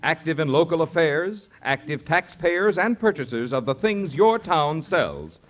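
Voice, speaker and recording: A man's voice: fairly high pitch, big pitch swings, measured pace, highs cut off. A man reading scripted 1950s radio announcements as the show's announcer, on a vintage broadcast recording. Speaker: radio announcer and sponsor spokesman. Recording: vintage radio broadcast, some music behind.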